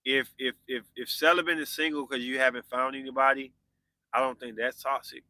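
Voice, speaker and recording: Bright-voiced man in his forties, chatting. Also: medium pitch, fairly even intonation, a little fast, no echo, low-quality recording. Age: 40s. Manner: loose and conversational, answering viewer comments. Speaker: podcast host. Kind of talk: casual live-stream chat with viewers.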